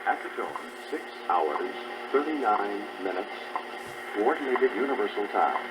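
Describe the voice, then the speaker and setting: A man's voice: fairly low pitch, sing-song, a little slow. A man making a formal recorded time signal announcement over shortwave radio. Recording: very noisy shortwave radio reception. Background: loud static noise.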